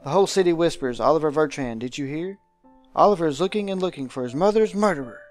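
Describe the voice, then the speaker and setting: A man voicing a visual novel's aristocratic guardian, pompous, dramatic and deliberate, with menacing affection. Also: on the high side, expressive, faint music behind, close to an everyday voice. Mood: grandiose joy.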